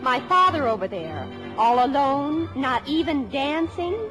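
American teenage daughter, high swooping voice, eager and flustered, 1950s radio comedy. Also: female voice, expressive, measured pace, over music. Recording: old radio broadcast.

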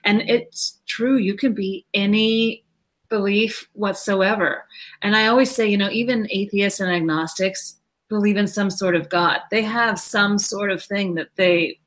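A woman's voice: medium pitch, fairly even intonation, a little slow, a bit bright. Woman podcast guest, fluent, affirming, reflective. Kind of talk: conversational podcast interview.

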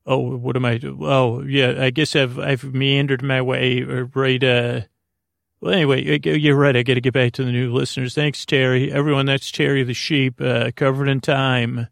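A man hosting a sleep podcast, rambling through an improvised bedtime monologue in a halting, stammering way, musing whimsically to an imaginary listener. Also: mid-range voice, flat delivery, gravelly, dry recording, studio quality. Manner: halting, stammering, meandering speech with trailing-off sentences.

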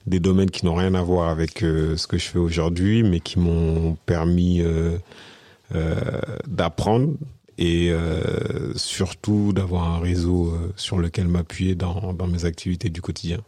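Low, hesitant man in his forties. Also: flat delivery, a little slow, dark tone, no room sound. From Senegal. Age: 40s. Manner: hesitant, many fillers and restarts. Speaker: podcast guest, business consultant. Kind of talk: casual interview conversation.

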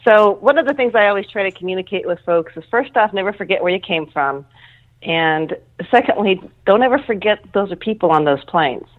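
A woman's voice: medium pitch, lively intonation, fairly quick. A middle-aged woman being interviewed, explaining earnestly and informally and often rephrasing as she goes. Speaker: interview guest, air traffic control manager. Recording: thin phone-like line.

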